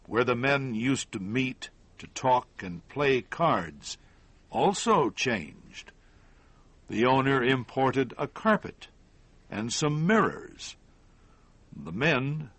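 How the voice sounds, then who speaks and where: A man narrating a scripted story in an audiobook style, with measured, deliberate phrasing and short pauses. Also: mid-range voice, fairly bright tone, no echo.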